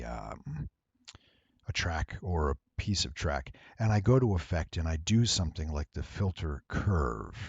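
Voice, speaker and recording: A man giving a casual, unscripted software tutorial in a deep, husky voice, with wry, playful asides. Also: no echo, lo-fi sound.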